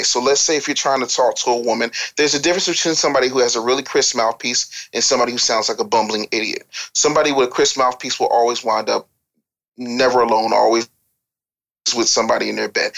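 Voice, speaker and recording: A man's voice: mid-range voice, fairly bright tone. An American man giving opinionated, unscripted advice as a podcast guest, blunt, profane and scolding.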